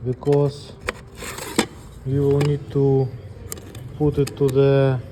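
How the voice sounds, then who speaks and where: Male technician for how-to demo, slow plain delivery, relaxed timbre, slight background noise. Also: mid-range voice. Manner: plain step-by-step explaining. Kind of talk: hands-on instructional demonstration.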